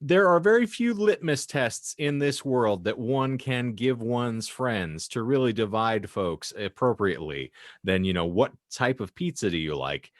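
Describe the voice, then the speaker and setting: A man's voice: medium pitch, lively intonation, measured pace, dry recording, clean studio sound. A man hosting a casual podcast, chatting in a wordy, mock-formal way with elaborate vocabulary. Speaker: podcast host.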